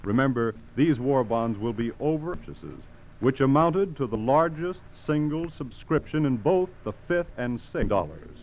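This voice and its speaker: An American man reading scripted announcements in a formal, measured 1940s radio style. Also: medium pitch, expressive, slight background noise. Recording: dull 1940s radio recording.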